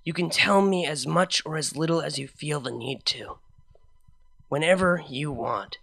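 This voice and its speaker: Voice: mid-range voice, creaky, a bit bright, faint music behind. A reader voicing a tender, devoted unicorn mare. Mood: reassuring. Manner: sincere, affectionate, deliberate delivery. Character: Vinyl, tender, devoted, casual female unicorn DJ pony. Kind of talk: dramatic fan fiction reading.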